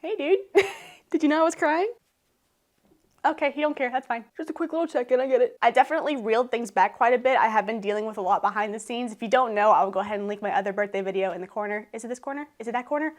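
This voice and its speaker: A woman's voice: on the high side, quick pace. A thirty-year-old woman, chatting to the camera in her living room, emotional and laughing as she talks. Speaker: YouTuber. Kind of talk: casual vlog talk to camera.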